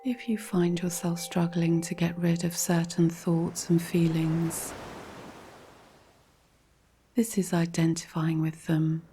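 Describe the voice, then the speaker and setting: A woman narrates a guided relaxation in a slightly low, mellow voice. Her delivery is very slow, calm and measured, with a soft breathiness as she gives instruction. There is faint noise behind her.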